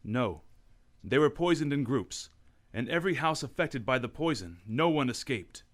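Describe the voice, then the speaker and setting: An actor playing a calm, thoughtful gentleman detective in a radio drama. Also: medium pitch, close to an everyday voice. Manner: measured and thoughtful.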